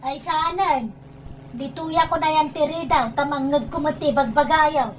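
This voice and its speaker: A woman's voice: sits high, steady tone, a little slow, slight background noise, low-quality recording. A woman acting in an Ilocano audio drama as a bossy, impatient, vain village woman who scolds and insists. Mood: stubborn.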